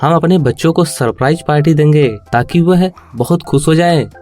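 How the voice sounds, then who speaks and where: A man's voice: on the high side, moderate pace, mellow, lightly acted. Poor village dad for a moral tale, kind and resolute played by a male narrator, faint music underneath. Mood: determined. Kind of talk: children's moral story cartoon acting.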